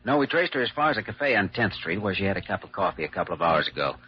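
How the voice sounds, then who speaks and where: An idealistic, stubbornly hopeful American doctor in an old radio drama. His fairly low voice swings expressively, urgent and clipped. The recording is dull, with faint noise. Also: big pitch swings, racing. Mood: concerned, reflective. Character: earnest, determined young male doctor, stubbornly hopeful.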